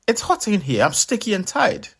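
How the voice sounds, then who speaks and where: A man's voice: high voice, sing-song, moderate pace, creaky, husky, pitched above the performer's own voice, heavily acted. A man voicing a sly, greedy, easily exasperated male trickster spider in a children's story, scheming and exclamatory. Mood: annoyed.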